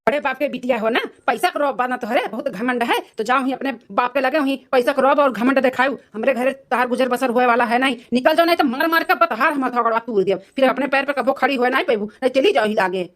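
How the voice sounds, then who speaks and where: A woman's voice: sits high, quick pace, hardly any pauses, a bit bright. A woman voicing a hot-tempered, domineering cartoon husband with an Awadhi accent, in a blustering manner. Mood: angry scolding. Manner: scolding, blustering. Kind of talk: amateur cartoon dialogue.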